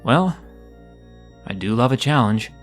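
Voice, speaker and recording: A man's voice: mid-range voice, expressive, measured pace, faint music behind, lightly acted. Man acting an earnest, determined young male mage. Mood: exasperated. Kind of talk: dramatized audio story acting.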